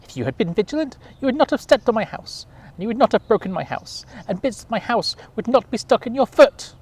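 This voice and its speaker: A man voicing a small, brave mouse in a storybook tale, speaking high and squeaky as it scolds. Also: sing-song, fairly quick, slightly rough, full character voice. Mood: scolding.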